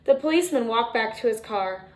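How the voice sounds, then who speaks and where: Animated high-voiced woman reading to children. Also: background noise. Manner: expressive, dramatic emphasis, drawn-out refrains, chatty questions to young listeners. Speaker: teacher reading a picture book aloud. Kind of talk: animated children's storytime read-aloud with asides to listeners.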